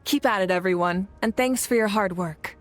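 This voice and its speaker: A woman's voice: medium pitch, normal speed, close to an everyday voice. A woman voicing a confident, kindly young leader of warriors in a video game, easygoing and encouraging. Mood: assured, approving. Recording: music behind the voice.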